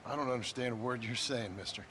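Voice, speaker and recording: A man's voice: mid-range voice, fast talker, sharp tone, slight background noise, close to an everyday voice. A man playing a tough, world-weary cowboy gunman in a video game, dry, blunt and unimpressed. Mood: dry, wary. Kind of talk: dramatic video game acting.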